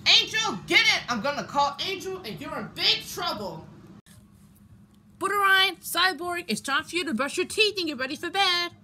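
A woman voicing a bossy, exasperated female caretaker in an amateur toy skit, scolding with drawn-out, emphatic commands. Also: very high voice, sing-song, sharp tone, full character voice. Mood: urgent, bossy. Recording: noisy homemade recording.

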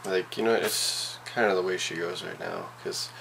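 Low-voiced young Canadian man, noisy recording. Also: fairly even intonation, moderate pace, gravelly, fairly bright tone. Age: 20s. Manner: laid-back, slangy, rambling. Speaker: vlogger. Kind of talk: casual vlog chat. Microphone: camera's built-in mic, handheld or at arm's length. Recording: noisy handheld camera audio, vehicle and ambient noise.

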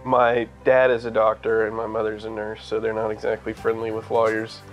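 A man's voice: lowish voice, monotone, fairly quick, faint music behind, low-quality recording. A man in his twenties, an incoming law student, speaking plainly in an interview. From Oklahoma. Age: 20s. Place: indoor room.

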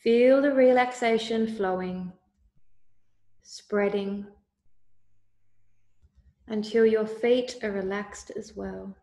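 Calm lady doing a guided meditation, super slow and soothing, echoey room sound. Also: medium pitch. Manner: calm, soothing, deliberate instruction. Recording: roomy, echoey voice recording.

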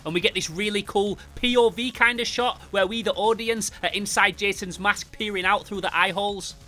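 A man reviewing a comic book in an animated, sweary storytelling style. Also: high-pitched, moderate pace, faint music behind. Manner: excitable, foul-mouthed, makes sound effects.

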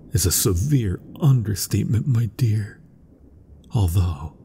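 A man playing an eccentric, fervent gothic-horror mad scientist in an ASMR roleplay, speaking in a breathy, florid, courteous and theatrical way. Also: fairly low pitch, warm tone, full character voice. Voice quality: breathy, husky. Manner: florid, courteous, dramatic delivery. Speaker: voice actor in audio roleplay. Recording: long reverberant hall sound.

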